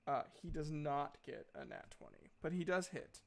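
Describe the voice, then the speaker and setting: A man acting as game master in a casual tabletop roleplaying session, thinking aloud in a halting way as he works out dice and rules. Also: a little high, lively intonation, gravelly.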